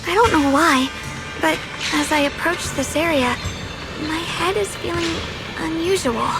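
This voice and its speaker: An actress voicing an earnest, gentle young female swordmaster in a video game, with loud music behind her. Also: very high voice, unhurried, rough recording. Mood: pensive, uneasy.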